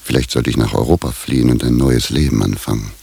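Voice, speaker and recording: Gravelly, very deep-voiced anxious husband. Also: male voice, steady tone, quick pace, dark tone, exaggerated voice. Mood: gloomy despair. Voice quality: gravelly, husky. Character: self-pitying, lovestruck married professor, anxious and scheming. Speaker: radio drama actor. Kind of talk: radio comedy drama acting.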